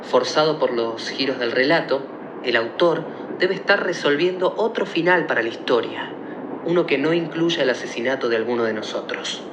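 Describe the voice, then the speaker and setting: A man's voice: medium pitch, moderate pace, echoey room, background noise, natural voice. An actor playing an earnest young man in a radio play, reasoning out a mystery in an emphatic, explanatory way. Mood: serious. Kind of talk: theatrical radio play acting.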